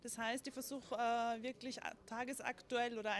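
A woman's voice: on the high side, level tone, normal speed. A woman explaining her work in an interview, hesitating with fillers. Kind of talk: interview answer.

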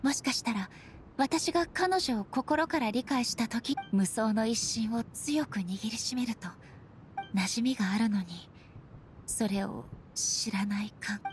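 Actress as a dignified, high-voiced warrior goddess. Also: female voice, measured pace, close to an everyday voice. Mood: earnest, reflective. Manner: polite, formal, earnest. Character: dignified, resolute female deity, reflective swordswoman. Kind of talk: Japanese anime game acting. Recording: faint game music behind the voice.

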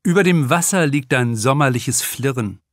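A man's voice: mid-range voice, lively intonation, normal speed, no echo, clean studio sound. A man narrating a novel as an audiobook, reading scripted prose as its author.

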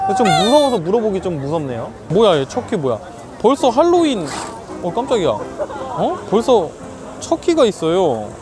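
A young man from South Korea with a Korean accent, chatting and interviewing people in a city park, with many fillers and laughs. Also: high voice. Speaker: street interviewer. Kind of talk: street interview vlog. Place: busy city park outdoors.

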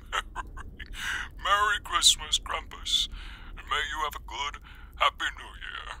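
A man playing a jolly, kindly old Santa in an ASMR roleplay, speaking in a breathy, measured, reassuring way. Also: a little high, animated, pushed and bright, slight background noise, heavily acted, rough recording. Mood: warm, merry.

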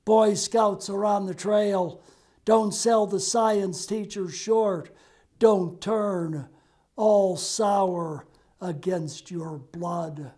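A wry old grandfather, read aloud by a man from a poem, speaking in slow, even verse. The tone is mellow and dark, with no rush at all. He sounds steady and dryly amused. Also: high-pitched, full character voice. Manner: slow, measured blank-verse delivery.

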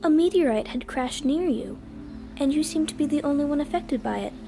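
A concerned, attentive nurse, acted by a woman in a cartoon comic dub. Her voice is high and mellow, with faint music behind it. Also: expressive, fairly quick, vocal fry. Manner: concerned, questioning. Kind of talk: amateur comic dub acting.

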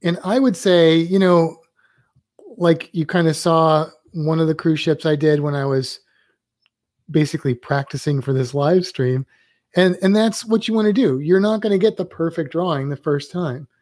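A man's voice: a little high, moderate pace, a little mellow, no echo, studio quality. A man teaching drawing on a live stream, rambling loosely and thinking aloud while he sketches.

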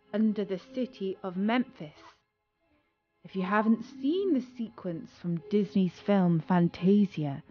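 A woman narrating a scripted children's story as a storyteller, expressive and dramatic, with deliberate pauses. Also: medium pitch. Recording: dull lo-fi audio with music.

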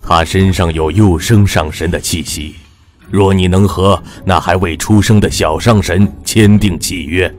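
An actor playing an old bearded male immortal, calm and scheming, with slow, measured counsel. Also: sits low. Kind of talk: audio drama voice acting.

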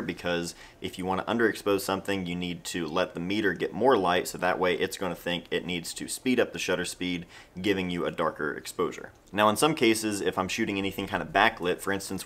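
A man's voice: low voice, a bit bright. An American man in his thirties talking casually and conversationally to camera, explaining things in a laid-back way as a vlog host. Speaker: vlog host and film photographer.

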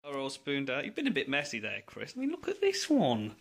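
Brief: young Midlands bloke hosting a home cooking vlog, playful, noisy kitchen recording. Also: male voice, mid-range voice, huge pitch swings, brisk, vocal fry. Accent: English Midlands. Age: young adult. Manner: playful, bursts into laughter. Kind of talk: casual jokey cooking chat. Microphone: camera mic on the counter.

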